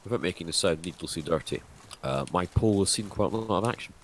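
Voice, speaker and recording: Very deep-voiced man, deadpan. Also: fast, slight background noise. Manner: dry, deadpan joking. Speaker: stream co-host. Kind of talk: casual chat.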